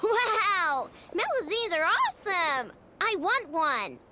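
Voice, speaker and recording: A woman voicing a tiny, excitable, naive companion sprite in a video game. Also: very high-pitched, slow, over loud music, full character voice. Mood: excited.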